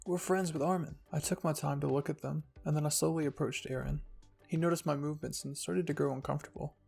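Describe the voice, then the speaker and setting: A narrator with a husky, creaky voice reading fan fiction in a soft scripted storytelling style. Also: mid-range voice, fairly even intonation, fast talker. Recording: music behind the voice.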